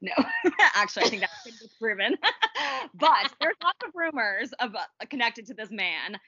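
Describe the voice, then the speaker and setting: A woman's voice: fairly high pitch, big pitch swings, moderate pace, sharp tone, slightly rough, no room sound, highs cut off. A young woman co-hosting a podcast in casual chat, bubbly and giggly. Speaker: podcast co-host.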